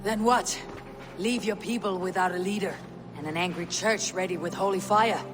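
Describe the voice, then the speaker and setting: An actress performs a resolute Viking warrior woman from a video game. She speaks at a middling pitch, grave and accusing, like someone used to giving orders. Music plays clearly behind the voice. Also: moderate pace, natural voice. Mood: stern, confronting. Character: stern female Viking warrior, resolute and blunt. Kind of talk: dramatic video game cutscene acting.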